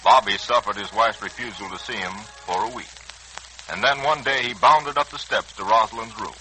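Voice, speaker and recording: A man's voice: on the low side, normal speed, bright, forceful tone, a little hiss or hum, poor audio. A man narrating a 1940s-style radio serial in a solemn, formal manner. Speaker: announcer-narrator. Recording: old radio recording. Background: music.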